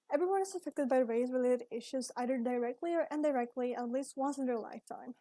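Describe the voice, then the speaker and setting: Young ecuadorian woman, spanish accent, kinda high voice, earnest student video essay. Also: dark tone, no echo. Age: young adult. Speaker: university student. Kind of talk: scripted personal video essay.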